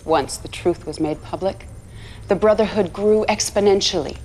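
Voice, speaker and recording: An actress playing a zealous propagandist for a militant cult, narrating a game cutscene in an earnest, measured way. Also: female voice, mid-range voice, dark tone, slight background noise, lightly acted. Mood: indignant, fervent.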